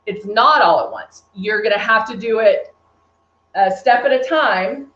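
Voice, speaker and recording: A woman's voice: medium pitch, fairly bright tone. Chatty Texan woman, echoey room. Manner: chatty, answers viewers' comments as she works. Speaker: beauty brand presenter. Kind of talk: live makeup tutorial. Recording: echoey room, dull lo-fi stream audio.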